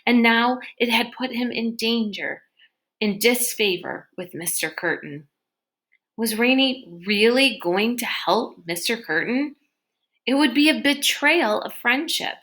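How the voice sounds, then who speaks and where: A woman reading a children's novel aloud in an expressive storytelling style. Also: on the high side, measured pace. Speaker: read-aloud reader. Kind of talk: expressive children's book narration.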